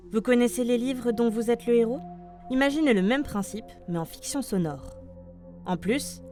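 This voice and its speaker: A woman reading scripted podcast news as presenter, addressing listeners like an announcer. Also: mid-range voice. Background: light music.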